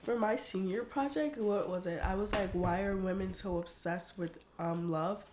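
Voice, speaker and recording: A woman's voice: mid-range voice, normal speed, darkish tone. Chatty young woman, laughing often. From United States. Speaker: podcast guest. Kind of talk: casual podcast chat.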